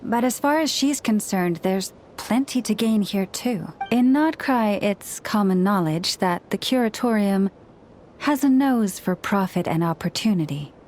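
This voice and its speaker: Woman voicing a calm, formal young guardian. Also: medium pitch, measured pace, a little hiss or hum, lightly acted. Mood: calm, earnest. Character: serene, dutiful young woman guardian, gently earnest. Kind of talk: video game voice acting.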